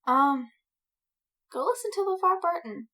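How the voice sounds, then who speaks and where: A woman's voice: very high voice, big pitch swings, a little slow, bright, forceful tone. A woman podcast co-host in casual chat, speaking in brief, agreeable responses.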